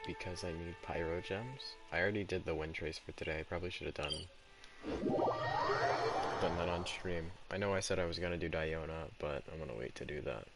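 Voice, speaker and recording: A man's voice: low-pitched, fairly quick. A man giving casual, rambling, offhand commentary as he streams a video game. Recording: loud game music behind.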